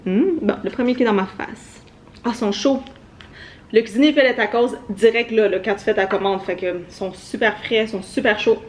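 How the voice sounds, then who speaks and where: A woman's voice: a little high, fairly quick, slight background noise. A young woman with a Québécois French accent, chatting upbeat and directly to viewers in a casual travel vlog. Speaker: travel vlogger.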